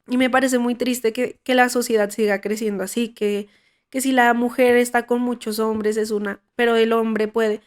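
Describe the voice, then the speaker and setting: A young Colombian woman speaks frankly and casually as a podcast host, her fairly high voice hoarse from a cold. She talks in an outspoken way and often restarts her sentences.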